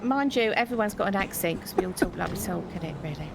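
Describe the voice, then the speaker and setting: A woman chatting in character during a roleplay game, gossipy and quick to laugh. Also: mid-range voice, animated, vocal fry, background noise. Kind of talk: casual in-character chat.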